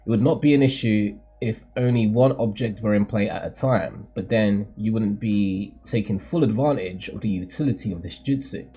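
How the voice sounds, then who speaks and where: Man acting as cryptic male mentor, solemn formal delivery, theatrical, manga read-aloud, husky tone. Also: lowish voice, moderate pace, few pauses, warm tone, faint music behind. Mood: solemn, measured.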